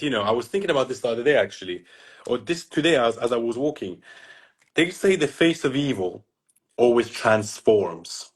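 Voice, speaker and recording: A man's voice: fairly low pitch, breathy edge. A man from Iran who grew up there, speaking as a guest on a call, emphatic and opinionated, stretching key words. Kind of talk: opinionated casual interview talk. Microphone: phone on a video call.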